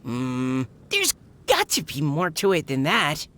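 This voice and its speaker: An eager, lively young adventurer. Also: female voice, deep voice, slow, a little hiss or hum, heavily acted. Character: eager young woman adventurer who cooks for her party. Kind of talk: video game cutscene voice acting.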